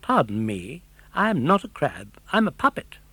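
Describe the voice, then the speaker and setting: A man's voice: a little high, wildly swooping pitch, moderate pace, gravelly, pushed and bright, breathy edge, no echo, full character voice. A man voicing an indignant, plucky little wooden puppet boy for a storybook recording, protesting emphatically. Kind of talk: audiobook character voice.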